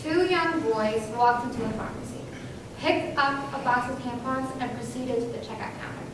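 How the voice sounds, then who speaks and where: A woman reads dramatically on stage in a high voice. She declaims each phrase deliberately, and the sound is very reverberant, with clear background noise. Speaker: readers theater performer. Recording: very echoey hall, far microphone, noisy hiss.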